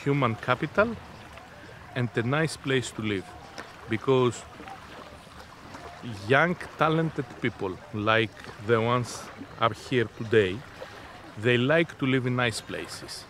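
A man in his fifties answering interview questions in a halting, deliberate, unscripted way. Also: medium pitch, a bit bright. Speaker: interviewee, innovation zone representative. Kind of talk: spoken interview, unscripted explanation. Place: outdoors on a sea beach. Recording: outdoor seaside background noise.

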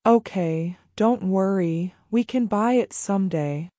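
A woman voicing a kind, patient old grandmother in a cartoon story, calm and firm. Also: medium pitch, slow, dry recording, clean studio sound, natural voice. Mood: gentle. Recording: music playing behind.

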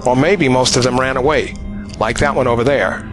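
A man's voice: medium pitch, a little fast, music in the background, lightly acted. A man playing a sober, weary young soldier in a war audio drama. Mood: weary doubt.

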